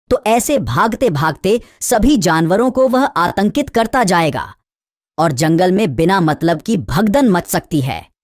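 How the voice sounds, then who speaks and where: A woman voicing a sensible, friendly male deer with an earnest manner in an animated fable. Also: lowish voice, no room sound, clean studio sound. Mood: concerned, urging. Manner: earnest, advising. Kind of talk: Hindi animated moral story acting.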